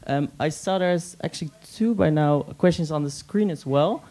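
A man's voice: a little high, moderate pace, mellow. A man moderating a panel on stage, asking questions hesitantly into a handheld mic. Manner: hesitant, many fillers and restarts in questions. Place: stage in a meeting hall with audience.

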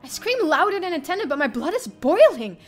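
A woman's voice: very high-pitched, big pitch swings, fairly quick. A young adult woman streamer reading a visual novel aloud in dramatic first-person narration. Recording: faint music behind.

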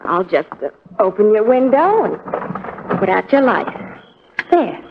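Attentive female nurse, lively intonation, proper, old radio drama, tinny noisy recording. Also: medium pitch, slow. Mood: soothing, polite. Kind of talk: 1940s radio drama acting.

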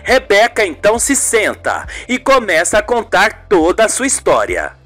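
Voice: medium pitch, huge pitch swings, moderate pace, a bit bright, faint music behind. A narrator with a Brazilian accent telling a scripted radio soap in a dramatic storytelling manner.

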